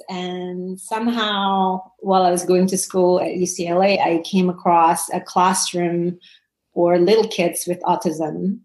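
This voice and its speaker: A woman's voice: mid-range voice, reverberant, low-quality recording. A woman in her forties from Indonesia, talking chattily as an interview guest, laughing often and restarting her sentences. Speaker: parent coach, guest. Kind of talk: casual interview answers.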